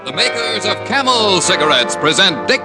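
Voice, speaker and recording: A man's voice: high-pitched, sing-song, moderate pace. A man with an American accent giving a dramatic introduction in the style of 1950s radio. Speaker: show opening announcer. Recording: vintage radio, loud brass music behind.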